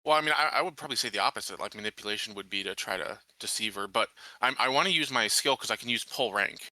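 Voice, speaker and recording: Very bright, crisp-edged man playing a tabletop game. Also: lowish voice, fast talker, dry recording, poor audio. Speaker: tabletop roleplaying player. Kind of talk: casual game talk.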